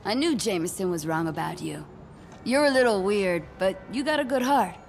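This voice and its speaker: A woman's voice: medium pitch, animated, normal speed, gravelly, faint music behind. An actress playing a proud, guarded young homeless woman who speaks tersely.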